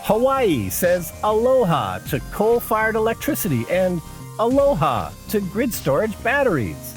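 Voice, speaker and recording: A man's voice: sits high, huge pitch swings, measured pace, soft background music. A man co-hosting a podcast in casual talk, sounding slightly congested and a little tired as he recovers from illness. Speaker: podcast co-host. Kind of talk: casual chat with some scripted show intro.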